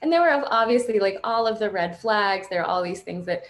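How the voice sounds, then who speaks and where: A woman in her thirties telling her personal story informally on a panel video call, halting and reflective, with frequent filler phrases. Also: mid-range voice, expressive. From born and raised in Morocco until seven, then United States. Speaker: panelist sharing personal testimony. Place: indoors at home on a video call. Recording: noisy video-call audio with room ambience.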